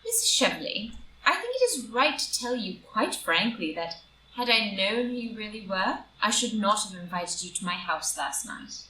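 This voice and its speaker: A woman's voice: medium pitch, sing-song, normal speed, sharp tone. A woman playing an upright, stern, indignant society wife with earnest, morally rigid delivery in a stage play reading. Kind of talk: stage play read as audio drama. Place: indoor room, live group reading. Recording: roomy, distant live reading, faint noise.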